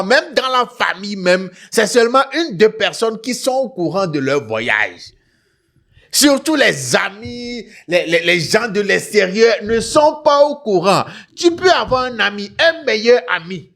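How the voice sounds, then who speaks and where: A man's voice: high voice, fairly bright tone. A man with a West African French accent hosting an online talk show, telling stories emphatically, repeating phrases and punctuating them with questioning tags. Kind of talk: animated storytelling commentary to viewers.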